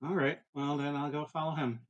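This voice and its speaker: A man's voice: on the high side, flat delivery, brisk, highs cut off. A man casually improvising as a player in a tabletop roleplaying game, hesitant and understated.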